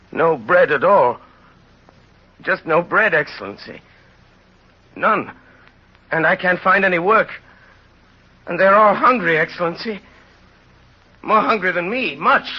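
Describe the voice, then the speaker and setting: An actor playing a poor, hungry labourer, humble and desperate, with halting, deferential pleading in an old radio drama. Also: male voice, a little high, slightly rough. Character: humble, desperate, hungry poor French pruner turned convict. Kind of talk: 1930s radio drama acting. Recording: noisy, dull old radio recording.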